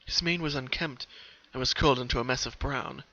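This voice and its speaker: A man's voice: mid-range voice, brisk, pushed and bright. A man reading a story aloud as a dramatic, scripted narration, on a slightly lo-fi home recording.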